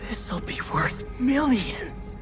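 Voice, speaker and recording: High, anxious young woman sidekick. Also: big pitch swings, takes it slowly, music in the background. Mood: awed. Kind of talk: cinematic video game acting.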